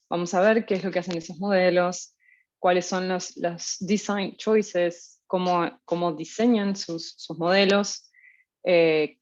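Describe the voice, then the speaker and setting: Uruguayan woman lecturing casually, slightly low voice. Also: lo-fi sound. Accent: Uruguayan Spanish. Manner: relaxed, many fillers and restarts. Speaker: guest lecturer, postdoctoral researcher. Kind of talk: informal academic talk.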